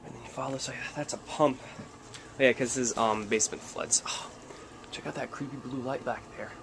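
A man's voice: mid-range voice, fairly even intonation, a little fast, noisy background. A young man chatting casually as he films a handheld vlog tour through a basement and a snowy street at night, joking along the way. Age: young adult. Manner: chatty, jokey, enthusiastic narration. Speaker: vlogger. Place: house basement and outdoors at night. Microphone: handheld camera at arm's length.

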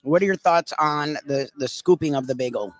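A man's voice: a little high, normal speed, no room sound. A middle-aged man co-hosting in casual conversation at a cafe table on a headset mic. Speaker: co-host. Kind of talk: casual chat. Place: busy cafe table, indoors.

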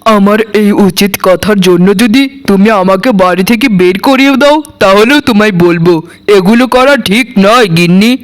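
High-pitched meek, plaintive husband played by a man. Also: normal speed, lightly acted. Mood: admonishing. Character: meek, injured husband, honest, reproachful and moralizing. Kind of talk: Bengali cartoon voice acting.

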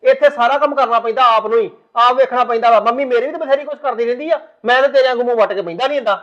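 Torn young husband played by a man, very high, fast, lo-fi. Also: fairly bright tone. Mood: scolding. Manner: reasoning, then lecturing sternly. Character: young husband and son, torn between mother and wife. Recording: muffled lo-fi.

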